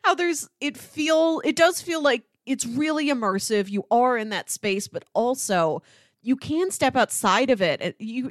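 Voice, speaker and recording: A woman's voice: medium pitch, dry recording, studio quality. A woman co-hosting a podcast, talking casually and reflectively about film. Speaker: podcast co-host.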